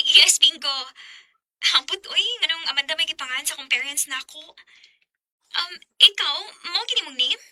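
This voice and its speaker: A coy, sweet young woman in a radio romance, with a Cebuano Bisaya accent. The voice is girlish, extremely high and very bright, recorded dry. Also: moderate pace, dry recording. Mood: coy, friendly. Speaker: radio drama actor. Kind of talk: Bisaya radio drama acting.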